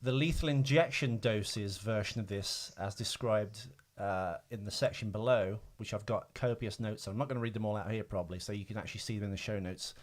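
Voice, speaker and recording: A man's voice: lowish voice, a little fast, hardly any pauses. A man hosting a casual film trivia podcast, explaining things in a hesitant, informal way. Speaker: podcast host.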